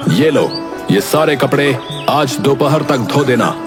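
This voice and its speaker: A man playing an authoritative royal official or king in an animated fairy tale, giving deliberate orders. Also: mid-range voice, over music. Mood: commanding. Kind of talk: Hindi animated moral-story voice acting.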